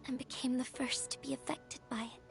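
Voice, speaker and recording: A woman playing a gentle, wistful young courier in a video game, speaking softly and breathily with a tender, reflective manner. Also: high-pitched, flat delivery, a little fast, vocal fry, slightly rough, in a whisper, faint music behind, full character voice. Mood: somber, sympathetic. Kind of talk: video game story dialogue.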